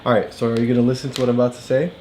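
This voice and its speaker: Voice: medium pitch, flat delivery, very fast, mellow, slight background noise. An adult patiently explaining things to a child in a casual read-along lesson, often checking for understanding. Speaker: parent tutor explaining a book to a child. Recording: echoey room.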